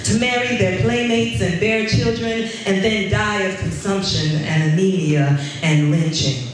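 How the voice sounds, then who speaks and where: A woman poet in her thirties reciting at a live reading in a measured, emphatic, incantatory cadence. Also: medium pitch. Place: indoor gallery space with seated audience. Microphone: stand microphone, recorded from camera at a distance. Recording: echoey room, distant mic, background noise.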